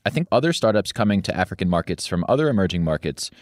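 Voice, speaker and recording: A man reading scripted narration as a podcast host, in a measured delivery. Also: low voice, very animated, no echo, studio quality.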